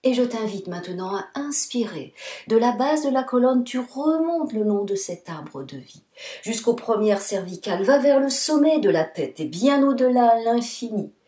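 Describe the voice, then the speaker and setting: A woman yoga nidra instructor guiding a relaxation meditation in a soothing, deliberate manner, in short phrases with pauses. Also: on the high side, animated, dark tone. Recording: roomy, echoing sound.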